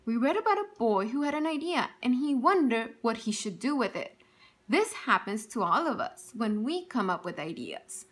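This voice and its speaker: This is a woman with a bright, somewhat high voice and a very lively, sing-song pitch. She reads a storybook aloud as a teacher. She tells it expressively and keeps turning to her young listeners with gentle questions. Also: moderate pace. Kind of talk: reading a picture book aloud to children.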